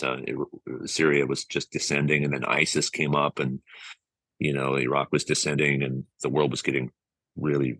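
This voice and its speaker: A man's voice: rumbling low, fairly bright tone, no room sound. A middle-aged American man giving reflective interview answers, earnest and often searching for his words. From United States, San Francisco Bay Area. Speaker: interview guest, musician and music compiler.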